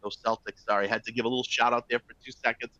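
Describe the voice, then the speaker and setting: A man's voice: mid-range voice, little pitch movement, rapid-fire, sharp tone, lo-fi sound. A middle-aged man with a Boston accent presents a live webinar to travel agents, upbeat and chatty, often checking in with "all right?" and "folks". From Boston area, US.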